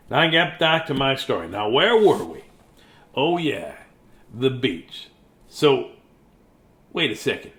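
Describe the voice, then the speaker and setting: Storybook narrator male reader acting: self-important, whiny, exasperated, bright and highly animated. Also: exaggerated voice. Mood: sulky, pleading. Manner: whiny, insistent, repetitive protests.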